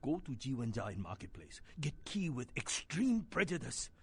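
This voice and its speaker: A man's voice: mid-range voice, sing-song, moderate pace, exaggerated voice. A man playing a boozy, wheedling Chinese gangster hitman in a video game, cajoling in accented broken English and speaking of himself by name. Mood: sly, cajoling. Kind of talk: video game character acting. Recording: clear background noise, game ambience.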